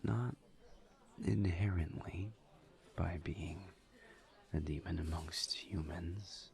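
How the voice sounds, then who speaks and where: A man playing a gentle, guarded incubus in an intimate audio roleplay, murmuring breathily and haltingly with careful pauses. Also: heavily acted. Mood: hesitant, thoughtful. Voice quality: breathy, creaky, low murmur. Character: gentle, guarded male incubus demon, earnest and reserved. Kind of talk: intimate roleplay audio drama, partly whispered.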